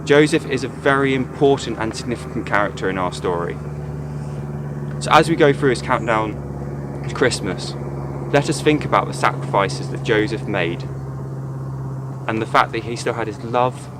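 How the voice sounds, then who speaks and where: A man's voice: mid-range voice, normal speed, noisy background. A man, a church youth worker, gives an earnest, reflective devotional talk retelling a Bible story.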